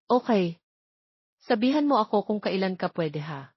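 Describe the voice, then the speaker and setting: A woman's voice: mid-range voice, sing-song, normal speed, husky, no echo, muffled recording. A woman voicing a friendly, easygoing young female classmate in a romance story, casual and inviting.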